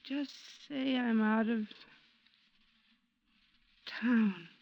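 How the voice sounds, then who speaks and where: High, dazed, drugged wife. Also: female voice, gravelly, exaggerated voice. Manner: faint, dazed, halting. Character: drugged, frightened, pleading wife. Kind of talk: old-time radio drama acting. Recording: dull vintage radio recording.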